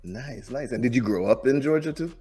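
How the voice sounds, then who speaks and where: A man from Jamaica hosting a business podcast, talking in a lively, encouraging way and often restarting his sentences. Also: mid-range voice, a little fast, over music. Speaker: podcast host. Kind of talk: casual interview.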